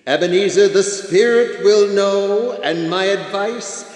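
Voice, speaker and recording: A man's voice: high-pitched, darkish tone. A man in a radio play voicing a grave, fading Christmas spirit who gives solemn, portentous warnings, with reverb on the voice. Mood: ominous warning. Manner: solemn, portentous, slow formal delivery. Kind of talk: theatrical radio play acting. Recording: echoing hall-like reverb.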